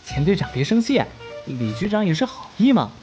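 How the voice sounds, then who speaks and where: An actor playing a cheerful young rookie police investigator in an animated drama, light and casual in manner. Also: mid-range voice, a little fast, highs cut off, soft background music, lightly acted. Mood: placating.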